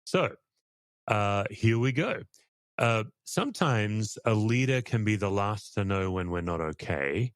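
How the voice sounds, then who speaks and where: A man's voice: fairly low pitch, animated, unhurried, clear, resonant tone, no room sound, clean studio sound. A middle-aged man hosting an interview, speaking candidly and reflectively with a self-deprecating touch. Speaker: podcast host, church leader. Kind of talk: conversational interview.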